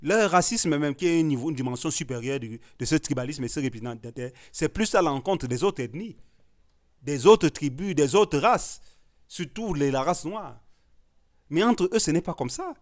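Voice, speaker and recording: A man's voice: a little high, expressive, moderate pace. A man from Cameroon, an artist arguing hard in a panel discussion. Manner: emphatic, rising to forceful declarations.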